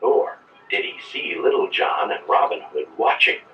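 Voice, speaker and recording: A man reads a children's story in an animated, scripted narration. The sound is echoey and set back, like an old record with faint noise. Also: normal speed, distant mic, highs cut off, faint music behind.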